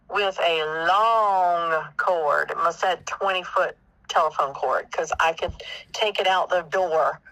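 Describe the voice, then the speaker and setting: Casting: Southern American woman, 50s, bright tone in a dry, close sound, casually answering family interview questions, thinking out loud. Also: lowish voice, dry recording, rough recording. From South Carolina, United States.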